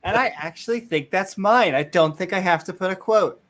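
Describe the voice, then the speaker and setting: A woman co-hosting a livestream, chatting casually and throwing out quick joking one-liners. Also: fairly low pitch. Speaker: co-host.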